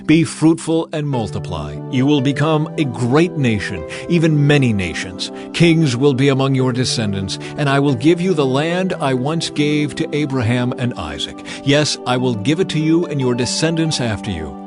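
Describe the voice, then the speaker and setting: A man's voice: mid-range voice, animated, moderate pace, few pauses, voice pushed up, close to an everyday voice. Guy doing god almighty in a bible audiobook, stately and commanding, raised voice, faint music. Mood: commanding, solemn. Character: God Almighty, solemn, commanding male deity.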